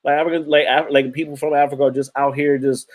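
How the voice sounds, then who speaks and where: A man's voice: a little high, level tone, fast, a loud crowd. A young man from the United States co-hosting a radio talk show, chatty and full of fillers. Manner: conversational, lots of fillers and hedges. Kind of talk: casual discussion.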